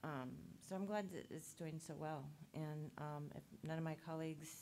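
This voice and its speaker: Low-voiced board member, faint noise. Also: female voice, steady tone, normal speed, darkish tone. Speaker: school board trustee. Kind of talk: board meeting remarks.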